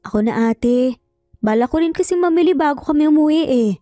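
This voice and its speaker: A woman's voice: sits high, expressive, a little fast, dark tone, no echo, studio quality, faint music behind, voice pushed up. A woman voicing a resolute, principled woman lawyer with an earnest, emphatic way of talking in a dramatic story. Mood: casual.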